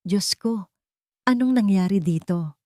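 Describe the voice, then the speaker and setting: A woman's voice: mid-range voice, a little slow, darkish tone, no room sound, studio quality, pitched above the performer's own voice, natural voice. A woman voicing a nosy, melodramatic older neighbor woman in an audio story, with a dramatic, meddling manner. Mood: worried, dramatic.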